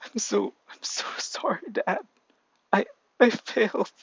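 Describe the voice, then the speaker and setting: A man's voice: high-pitched, a little slow, creaky, a bit bright, husky, no echo, dull audio, voice pushed up, full character voice. A man voicing a grieving, guilt-ridden young man, speaking in a raised, stammering, choked voice.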